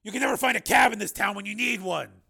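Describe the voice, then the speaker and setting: A man voicing Steele, a tough, impatient armed fighter who talks in forceful, clipped commands in an audiobook. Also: high-pitched, quick pace, gravelly, husky, pitched above the performer's own voice, full character voice. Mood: exasperated.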